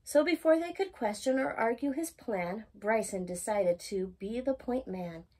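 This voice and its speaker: A woman reading a rhyming children's picture book aloud as a storytime host, with expressive, singsong emphasis. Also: on the high side, measured pace.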